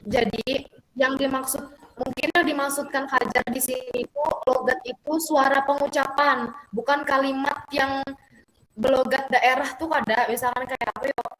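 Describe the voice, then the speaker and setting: High-voiced young woman with Banjar accent. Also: little pitch movement, normal speed, fairly bright tone, reverberant, poor audio. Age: young adult. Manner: casual, hesitant, mixing in local words. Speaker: student presenter. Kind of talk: classroom discussion.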